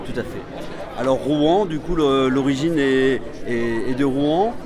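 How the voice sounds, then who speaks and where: A man's voice: medium pitch, measured pace. A man interviewing a musician, asking hesitant questions with many fillers. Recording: noisy crowd in background.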